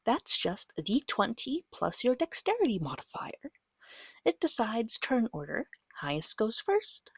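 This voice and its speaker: A woman speaking softly in a gentle, patient ASMR style, often slipping into a whisper as she guides a beginner in short, measured phrases. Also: fairly high pitch, no echo. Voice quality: soft, breathy, often dropping to a whisper. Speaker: guide teaching a beginner tabletop game. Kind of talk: soft-spoken ASMR, slow guided explanation.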